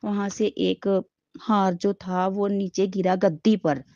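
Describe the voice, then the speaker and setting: A middle-aged woman with a sore throat tells a devotional story slowly, with a soft, mellow tone and many restarts. The recording is dry and a little dull. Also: medium pitch, little pitch movement, no echo. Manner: slow, devout storytelling with frequent restarts. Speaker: devotee sharing a testimony. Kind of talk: personal spiritual testimony.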